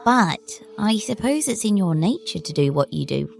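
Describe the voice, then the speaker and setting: A woman playing a stern, precocious little girl in an audio horror drama, speaking in a formal, measured way. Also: medium pitch, animated, vocal fry. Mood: cool, accusing. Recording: music under the voice.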